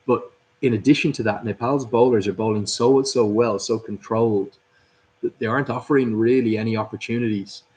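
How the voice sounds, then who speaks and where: A man's voice: mid-range voice, fairly even intonation, brisk, darkish tone. A man hosting a live cricket watchalong, commentating and chatting through viewer comments. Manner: chatty, upbeat, reads out viewers' names and greetings. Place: at a cricket club.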